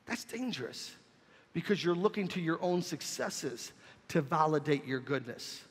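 A man preaching a sermon as a pastor, leading call-and-response and building to emphatic shouts. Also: a little high, lively intonation, unhurried, breathy edge. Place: church sanctuary. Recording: long church reverb.

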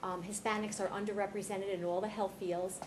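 A woman heading a nursing department, answering board questions in a loud voice full of hesitant ums. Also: medium pitch, level tone, fairly quick. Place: large conference room. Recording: noisy meeting room.

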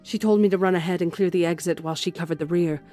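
A grim, fanatical sister-soldier played by a woman tells her horror story. She is solemn, deliberate and intense, in the manner of dramatic audio fiction, with music underneath. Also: on the low side, steady tone, mellow, close to an everyday voice. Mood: grim dread. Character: grim, zealous warrior nun recounting a horror. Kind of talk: dramatic first-person horror narration.

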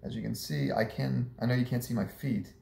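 Hoarse, gravelly young man in an echoey room. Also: medium pitch, a little fast, far from the mic. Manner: relaxed and chatty, with many fillers. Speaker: vlogger. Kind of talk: casual recovery update. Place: small living room indoors. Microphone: camera a few meters away.

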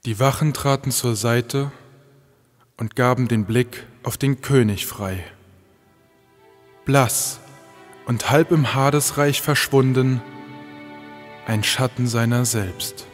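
A man's voice: mid-range voice, takes it slowly, long pauses. A man narrating an audio drama as a scripted, suspenseful story in a portentous manner. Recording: loud music under the voice.

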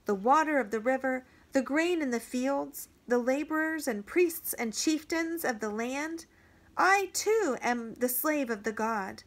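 A young boy prince, voiced by a woman narrator gathers his courage and speaks in a firm, ringing declaration. The voice sits fairly high and unhurried. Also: lively intonation. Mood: earnest.

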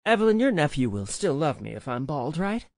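A woman voicing a stubborn, sharp-tongued young woman with a curt, defiant manner in an audiobook. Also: sits low, sing-song, fairly quick, no room sound, clean studio sound. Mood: nervous joking.

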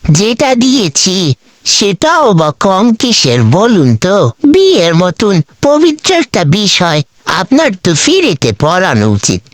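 A performer acts out a sly, timid cartoon henchman with a Bangladeshi accent. The voice swings widely in pitch and drags its words, flattering and wheedling in a dry, close recording. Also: no room sound. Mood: bargaining. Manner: wheedling, drawn-out, theatrical. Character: scheming, timid, flattering crow-nicknamed male political lackey. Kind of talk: comic cartoon dialogue.

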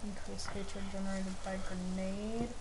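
A woman streams a game with casual, exclaiming chatter. Her voice sits at an ordinary female pitch. There is clear rain-like noise behind her. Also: level tone, measured pace. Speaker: gaming streamer. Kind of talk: casual co-op let's play chat.

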